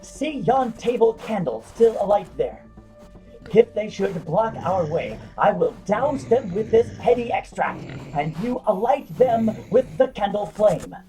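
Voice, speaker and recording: An actor playing a theatrical, grandiose drunken adventurer in a comic fantasy audio drama, declaiming in flowery archaic language. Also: male voice, high voice, very animated, unhurried, dark tone, faint music behind. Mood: conspiratorial.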